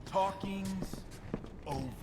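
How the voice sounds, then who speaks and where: An actor playing a menacing, foul-mouthed criminal in a video game, curt and threatening. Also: male voice, high-pitched, slow. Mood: cold menace. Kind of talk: dramatic video game voice acting. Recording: noisy, long reverberant echo.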